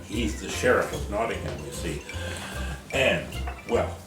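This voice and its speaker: A man's voice: low voice, expressive, a little slow. A man being interviewed as a stage performer, explaining things conversationally in a hesitant, jokey way. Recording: roomy echo, distant mic, music behind.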